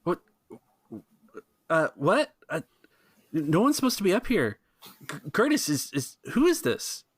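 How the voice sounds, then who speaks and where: A man voicing a suspicious, flustered male guard who questions in a halting way. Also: high-pitched, sing-song, no room sound, clean studio sound, voice pushed up, exaggerated voice. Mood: startled, suspicious.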